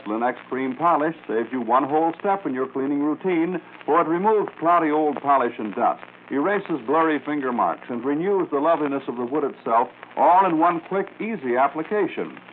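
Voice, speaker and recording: A thin, faintly noisy 1940s radio recording of an American male announcer. He reads scripted advertising copy in a polished, formal manner at a medium male pitch. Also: phone-quality sound.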